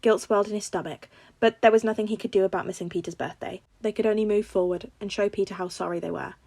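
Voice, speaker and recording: A woman narrating fan fiction in an expressive storytelling style. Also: medium pitch, fast, vocal fry, husky, no echo. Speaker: podfic reader.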